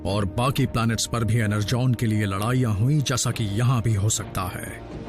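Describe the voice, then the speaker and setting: A man voicing a solemn, commanding heroic robot leader in a dubbed cartoon, with a measured, authoritative manner. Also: fairly low pitch, steady tone, over music, natural voice. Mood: grave, commanding.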